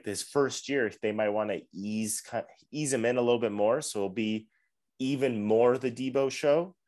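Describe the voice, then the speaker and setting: Easygoing low-voiced man talking football. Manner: easygoing, hedging, laughs often. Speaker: podcast co-host. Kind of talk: casual sports chat.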